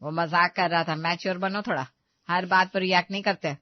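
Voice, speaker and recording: A woman's voice: lowish voice, fairly even intonation, fairly quick, no room sound, lightly acted. A woman voicing a stern, bossy middle-aged mother-in-law in an audio story, lecturing and scolding. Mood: dismissive. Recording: slightly dull, dry recording.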